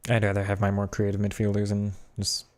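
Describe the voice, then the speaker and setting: A young man with a hoarse voice, chatting casually about football tactics and reacting to his own play while gaming. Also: fairly low pitch, monotone, brisk. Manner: thinking aloud, reacting to play. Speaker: gaming streamer. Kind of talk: casual gameplay commentary.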